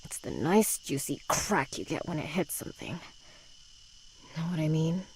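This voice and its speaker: Low-voiced, sardonic rebel schoolgirl. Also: lively intonation, normal speed, a little hiss or hum, poor audio. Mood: bitter, sardonic. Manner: curt, sarcastic. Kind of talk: video game voice acting.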